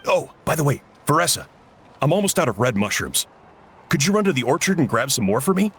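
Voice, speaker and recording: Genial uncle-type male cook for a game: somewhat high, very animated, slightly dramatic. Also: a little fast, a little hiss or hum. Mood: cautionary. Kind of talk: English video game voice acting.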